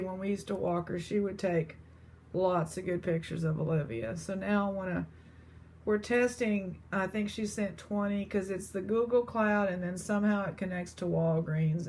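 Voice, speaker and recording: A woman's voice: on the low side, darkish tone, a little hiss or hum. A woman with an East Texas accent chatting casually to her viewers as an online seller. She rambles, with lots of fillers and restarts. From East Texas, USA.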